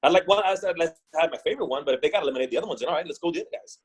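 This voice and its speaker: A man's voice: fairly high pitch, racing, slightly rough. A man from Puerto Rico, a wrestler guest on a podcast, chatting casually and often repeating words as he thinks.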